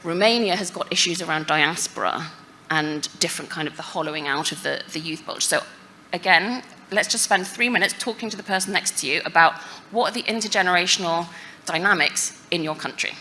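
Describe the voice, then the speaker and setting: A woman's voice: on the low side, a little fast, bright, forceful tone, slight background noise. A woman speaking on a panel to a live audience at a conference, in a conversational way with frequent tag questions and "you know" fillers. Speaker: panel speaker at a futures forum.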